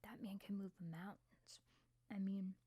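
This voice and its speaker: Lady doing a cocky young gymnast girl whispering in asmr roleplay, slangy, soft dark tone, really dry sound. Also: mid-range voice, flat delivery, a little slow, dry recording. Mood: candid, confident. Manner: casual, slangy, breathy. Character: brash, food-loving young female gymnast.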